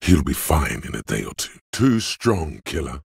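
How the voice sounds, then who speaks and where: An actor playing the gruff, domineering inner voice of physical strength in a video game, raspy and deliberate, narrating like a tough coach. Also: male voice, sing-song, dry recording, clean studio sound, exaggerated voice. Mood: measured, ominous. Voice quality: very deep, gravelly, raspy. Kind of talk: scripted game narration, dramatic second-person.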